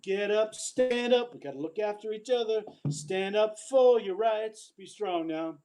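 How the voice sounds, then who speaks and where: A woman's voice: mid-range voice, lively intonation, a little slow, warm tone. A woman singing a reggae song live and calling for others to join in. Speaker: singer.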